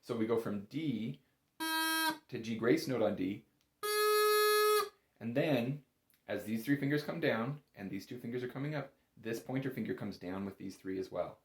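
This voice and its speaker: A man's voice: mid-range voice, moderate pace, pauses often, darkish tone, echoey room. A man giving a casual, step-by-step music lesson as an instructor, in an informal, reassuring and encouraging manner. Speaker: bagpipe instructor.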